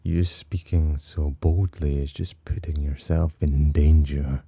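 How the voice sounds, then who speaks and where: Casting: male actor as condescending demon for ASMR roleplay, extremely low and husky, menacing yet teasing. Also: fairly even intonation, measured pace, dry recording, exaggerated voice. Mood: haughty, probing. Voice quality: very deep, dark, husky. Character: haughty, teasing male demon, disdainful of humans, possessively protective. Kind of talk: ASMR roleplay, intimate scripted monologue.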